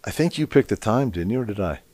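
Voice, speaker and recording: A man hosting a casual interview, chatty and folksy, firing off quick questions and encouraging replies. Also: lowish voice, expressive, darkish tone, dry recording. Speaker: podcast host.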